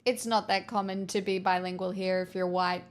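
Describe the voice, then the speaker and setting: A woman's voice: medium pitch, fairly even intonation, moderate pace. A woman live streamer chatting casually and candidly with viewers, answering their messages with frequent restarts and the odd swear.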